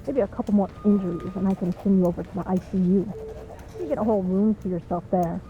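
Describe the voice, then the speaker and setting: A woman's voice: mid-range voice, fast, muffled recording, close to an everyday voice. A woman playing a cheeky, smug female villain in a comedic audio roleplay, with breezy, sarcastic teasing. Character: cheeky, smug woman supervillain, frequent hospital patient.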